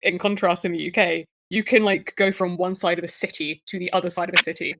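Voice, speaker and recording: A woman's voice: on the low side, fairly even intonation, fast, sharp tone, no room sound. A young woman from England with a Southern English accent, chatting casually in a rambling way with many fillers. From England, Guildford. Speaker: player. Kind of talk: casual chat.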